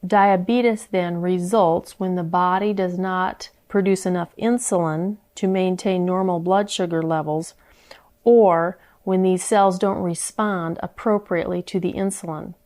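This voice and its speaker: A woman presenting scripted health information in a measured, explanatory manner. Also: on the low side, steady tone, a little mellow.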